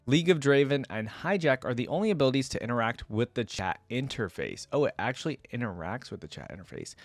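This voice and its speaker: A man's voice: mid-range voice, no room sound. A man hosting a reaction video, commenting casually and informally as he thinks aloud.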